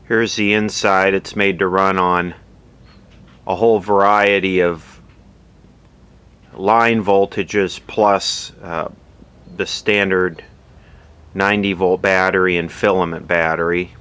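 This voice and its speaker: A man narrating a casual, unscripted hands-on repair demonstration in a matter-of-fact, halting way, as host of his own video. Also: low voice, steady tone, fairly bright tone. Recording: clearly audible background noise.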